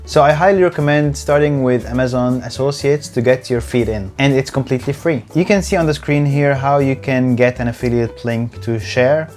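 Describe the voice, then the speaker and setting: Man, English, earnest tutorial host, slightly stiff delivery, soft background music. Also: medium pitch. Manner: earnest, a bit stiff on camera. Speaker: channel host. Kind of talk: scripted explainer tutorial.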